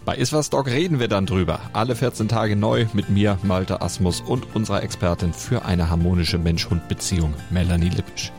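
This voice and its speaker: A man's voice: low-pitched, fairly quick, hardly any pauses, a little mellow, soft background music. A man reading a scripted promo for his own podcast in a friendly, conversational way. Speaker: podcast host.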